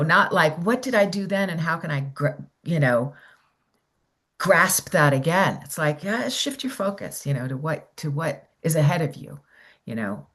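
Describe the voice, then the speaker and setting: A woman's voice: deep voice, fairly bright tone. A middle-aged woman, a voiceover coach, giving rambling casual advice on a video call, full of restarts and "you know".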